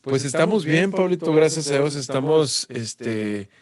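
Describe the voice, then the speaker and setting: A middle-aged man with a Guatemalan accent, speaking calmly and earnestly as an interview guest from his home studio. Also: fairly high pitch, measured pace. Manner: calm, earnest, devout. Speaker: guest, music producer. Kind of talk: casual interview chat.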